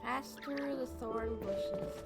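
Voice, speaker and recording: A woman giving casual, expressive let's play commentary, with drawn-out, sometimes sweary reactions. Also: on the high side, gravelly. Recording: loud game music behind the voice. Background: loud game music.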